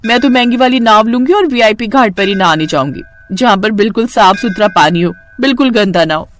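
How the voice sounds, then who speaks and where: A woman's voice: mid-range voice, brisk, faint music behind, lightly acted. A woman voicing a haughty, boastful rich married woman in a moral cartoon story, with a mocking, showy manner. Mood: boastful, scornful.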